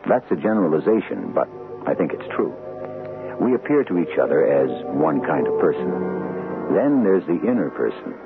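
An actor playing a solemn, philosophical radio mystery host, narrating with gravelly, deliberate, ominous delivery. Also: male voice, very deep voice, sing-song, full character voice. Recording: tinny old radio broadcast. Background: music.

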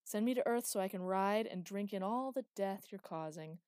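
A woman voicing a reckless, bloodthirsty man who shouts and taunts loudly. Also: medium pitch, a little fast, dry recording, clean studio sound, natural voice. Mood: gleeful, taunting. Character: reckless bloodthirsty young man, gleeful and crude.

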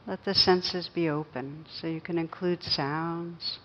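A woman with a fairly low voice leads a guided meditation. She speaks gently and takes her time, leaving long pauses between short phrases. The recording is a bit muffled, with faint noise behind her. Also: fairly even intonation. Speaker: meditation teacher.

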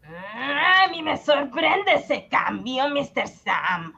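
A woman's voice: high-pitched, big pitch swings, drawn-out words, vocal fry, pushed and bright, highs cut off, heavily acted. A woman playing a skeptical government minister in a satirical radio drama, asking pointed questions. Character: skeptical, probing government minister of a poor country.

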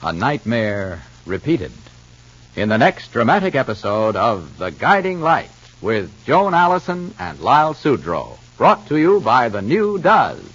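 Very animated, husky vintage radio announcer, male. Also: mid-range voice, measured pace. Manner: emphatic, polished sales delivery. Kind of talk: old-time radio commercial announcing. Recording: muffled old radio broadcast recording.

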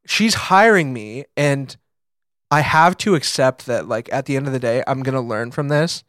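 A young American man co-hosting a podcast, chatting casually and confessionally, thinking aloud in halting fragments. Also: mid-range voice, fairly bright tone, no room sound, clean studio sound. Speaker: podcast co-host, photographer.

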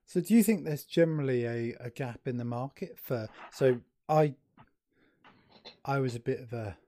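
A man hosts a casual chat over a video call, loose and jokey, now and then running himself down. His voice is mid-pitched and sounds dry and close. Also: moderate pace, mellow, no echo. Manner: jokey, loose, self-deprecating. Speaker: host.

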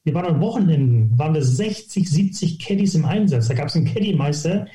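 A quick-talking man in his sixties from near Hamburg tells golf stories with lively, self-ironic humour. His voice is slightly high for a man but very dark and mellow in tone, and it is recorded in a roomy space with noticeable echo. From northern Germany, near Hamburg. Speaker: golf coach, guest. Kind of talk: casual interview storytelling.

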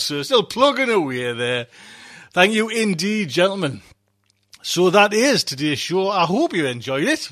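Male host for show sign-offs: high, animated pitch, chatty, courteous, effusive. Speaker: podcast host.

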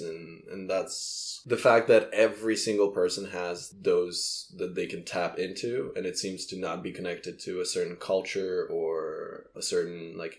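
A man from Moldova whose first language is Russian chats with a gravelly, low voice. He muses in an easygoing way and agrees readily. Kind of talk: casual conversation.

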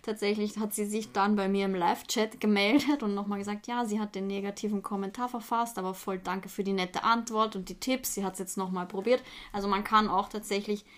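A woman's voice: mid-range voice, fairly even intonation, brisk, hardly any pauses. A young woman, a guest in a casual interview, talking eagerly and rambling a little. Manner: eager, rambling, quotes messages. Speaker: influencer and business owner, guest.

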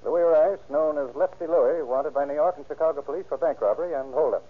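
An actor playing a seasoned, dry-witted sheriff in a 1930s radio drama, folksy but authoritative. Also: male voice, on the high side, fast talker, natural voice. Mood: briefing. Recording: tinny, band-limited 1930s radio recording.

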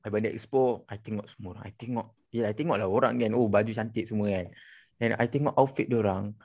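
A young man with a Malaysian accent, chatting casually and mixing Malay and English, animated and swearing freely. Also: fairly low pitch, quick pace. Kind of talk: casual chat.

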